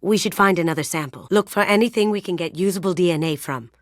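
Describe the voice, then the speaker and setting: A confident young female thief from a video game , played by an actress, speaks in a low feminine register. She is sly and playful, with a hushed, self-assured manner. The sound is dry, with no room on it. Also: fast, no room sound, lightly acted. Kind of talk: game character voice lines.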